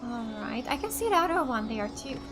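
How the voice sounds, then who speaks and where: A woman from Finland doing casual let's play commentary, reacting aloud and thinking out loud as she plays. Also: on the high side, sing-song, a little fast. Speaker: gaming YouTuber. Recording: game music behind the voice. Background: video game music.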